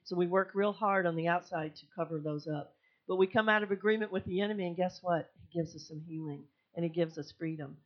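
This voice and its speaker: A woman's voice: fairly low pitch, quick pace, highs cut off. An older woman speaking as a guest at a congregation, describing a healing workshop in a friendly, chatty way with fillers and restarts. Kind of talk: sermon-style talk to a congregation. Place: church sanctuary.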